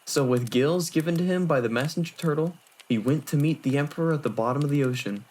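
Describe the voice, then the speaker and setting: A man's voice: a little high, fast talker, darkish tone, close to an everyday voice. Man acting as Miroku, a theatrical flirtatious storyteller. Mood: storytelling. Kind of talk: fan audio drama acting.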